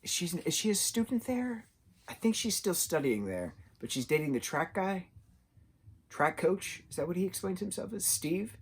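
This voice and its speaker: A man of about thirty giving a casual, unscripted TV review, rambling and halting as he searches for his words. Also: high-pitched, big pitch swings, slightly rough. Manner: rambling, halting, loses his train of thought. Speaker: TV show reviewer.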